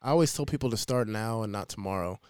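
A man co-hosting a podcast, asking questions earnestly with some halting restarts. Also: fairly low pitch, darkish tone, smooth, dry recording, clean studio sound. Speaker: podcast co-host. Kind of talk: casual podcast interview.

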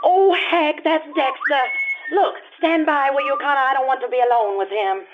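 A woman's voice: sits high, moderate pace, music in the background, close to an everyday voice. A woman acting a proud, fiery young society bride-to-be in an old-time radio play, with a theatrical, haughty delivery. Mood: haughty, irritated. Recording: tinny band-limited live stage recording.

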